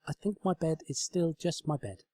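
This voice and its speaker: A man's voice: fairly high pitch, lively intonation, normal speed, dark tone, dry recording, voice pushed up. A man voicing an earnest, kind-hearted young female unicorn in a comic storybook, sincere and easily flustered. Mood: tender, sincere. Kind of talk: comedic storybook reading.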